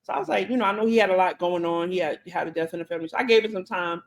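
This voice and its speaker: A woman co-hosting a podcast, telling stories in an animated way and acting out both sides of conversations. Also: fairly low pitch, racing. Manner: animated, acts out conversations in quotes. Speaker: podcast co-host. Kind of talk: casual storytelling chat.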